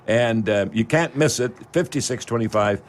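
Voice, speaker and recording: A man's voice: mid-range voice, moderate pace. A man with a Canadian accent hosting a live local radio show, talking in a rambling, folksy way straight to his listeners.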